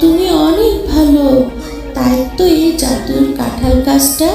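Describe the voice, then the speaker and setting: A gentle magical tree spirit from a children's cartoon, played by a woman. The voice is high and creaky, generous in manner, and drifts in distant through a deep echo. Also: measured pace, full character voice. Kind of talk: children's cartoon story acting. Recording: roomy echo, distant, music behind.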